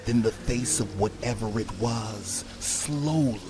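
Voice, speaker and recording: A man reading a scripted first-person horror story in a hushed, often breathy delivery. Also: on the low side, takes it slowly, noisy background. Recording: prominent background music under voice. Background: music.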